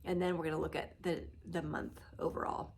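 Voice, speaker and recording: A middle-aged woman with a nasal voice from a cold, chatting casually to camera in her living room, trailing off softly at the ends of phrases. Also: sits low, brisk, vocal fry, a little hiss or hum. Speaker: reseller vlogger. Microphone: phone or camera close at arm's length.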